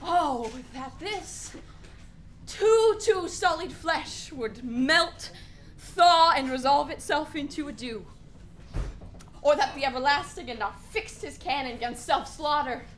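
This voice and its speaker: A woman's voice: high voice, animated, slight background noise. A woman playing a grief-stricken, bitter young prince in anguished Shakespearean verse for a radio play. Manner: anguished, drawn-out, exclamatory verse delivery.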